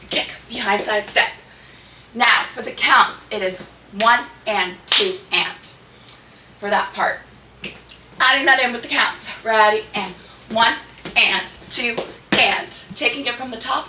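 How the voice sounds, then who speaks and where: A woman with a slightly hoarse voice teaching a dance step by step as a tutorial host, cueing moves in short, rhythmic counts. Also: medium pitch. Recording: echoey room, distant mic, background noise.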